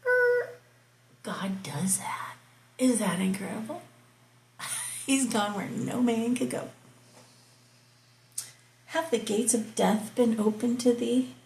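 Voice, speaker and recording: Woman, Bible reading host, slow, creaky, casual asides, echoey room. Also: fairly high pitch, very animated, slight background noise. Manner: reads slowly, breaks in with casual asides and soft whispers. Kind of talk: slow scripture reading with commentary.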